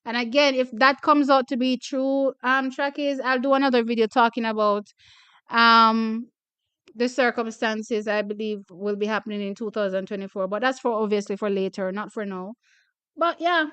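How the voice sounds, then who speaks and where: A woman's voice: on the high side, fairly even intonation, moderate pace, a bit bright, clear, resonant tone, no echo, lo-fi sound. A young woman from Jamaica hosting a track and field channel, chatting casually with viewers, laughing often and repeating herself. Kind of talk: casual chat reading viewer comments.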